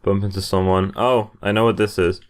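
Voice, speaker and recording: Low-voiced young man reading a game aloud. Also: little pitch movement, brisk. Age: young adult. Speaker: gaming streamer reading a visual novel aloud. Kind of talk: casual playthrough reading and commentary. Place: indoor room at a computer. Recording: game music behind the voice.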